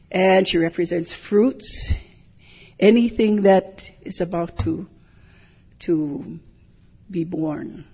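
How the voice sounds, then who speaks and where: An older woman from Hilo, giving a measured, reflective talk as a hula teacher, often drifting into digressions. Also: mid-range voice, slightly rough. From Hilo, Hawaii. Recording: dull lo-fi recording.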